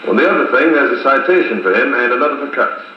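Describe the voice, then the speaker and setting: An actor playing a brisk, decisive American military officer running a conference in a 1950s radio drama. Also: male voice, mid-range voice, lively intonation, lightly acted. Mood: brisk, businesslike. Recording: muffled, echoey 1950s wire recording with hiss.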